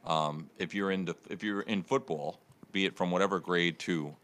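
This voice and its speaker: Deep-voiced man rambling through a story. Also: fairly even intonation, normal speed, fairly bright tone. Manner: rambling storytelling with many restarts. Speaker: radio show host. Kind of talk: casual morning show chat.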